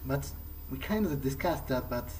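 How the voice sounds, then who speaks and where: A man's voice: mid-range voice, animated, normal speed, a little mellow, a little hiss or hum, poor audio. A man hosting a let's play, speaking conversationally in character in a hesitant, musing way. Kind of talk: in-character gameplay roleplay, conversational. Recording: faint game music behind. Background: game soundtrack.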